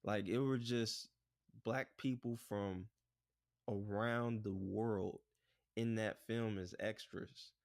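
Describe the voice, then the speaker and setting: A man co-hosting a podcast in relaxed, rambling casual chat, often saying "bruh" and "like". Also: lowish voice, slow pace, no room sound, studio quality. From United States. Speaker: podcast co-host.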